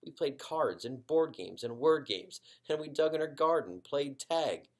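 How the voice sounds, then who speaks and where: A man voicing an easygoing, gently bossy family dad with a folksy, emphatic way of talking in a children's story. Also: on the high side, animated, unhurried, voice pushed up. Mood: nostalgic, amused.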